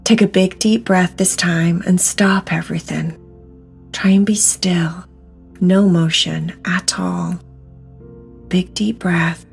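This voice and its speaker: A woman's voice: on the low side, steady tone, slow, gravelly, husky. A woman guiding a meditation in an instructional, soothing and encouraging manner, stressing words by repeating them. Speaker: meditation guide. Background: music.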